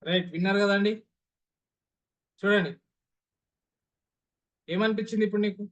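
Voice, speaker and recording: A man's voice: high-pitched, fairly even intonation, normal speed. A man leading an online voice modulation class over a video call, who keeps putting questions to his listeners and repeating them. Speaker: voice modulation trainer. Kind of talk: interactive online class talk.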